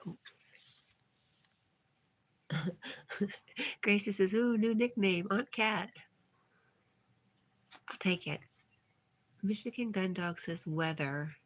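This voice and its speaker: A woman's voice: medium pitch, extremely slow, pauses often. A woman hosting a casual livestream, reading viewer comments aloud and replying in a chatty way. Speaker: livestream host.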